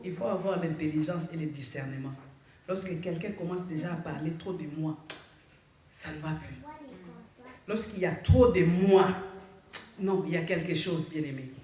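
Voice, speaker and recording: A woman's voice: fairly low pitch, slight background noise. A woman pastor preaching a sermon and calling out "Alléluia" for her congregation to answer. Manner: preaching with frequent calls of "Alléluia" for congregational response. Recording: very echoey, mic far off. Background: congregation answering "Amen".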